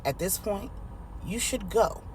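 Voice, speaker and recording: A woman storyteller playing a measured, firm male boss in a dramatic story. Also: mid-range voice, lively intonation, a little mellow, slight background noise, natural voice. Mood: firm dismissal.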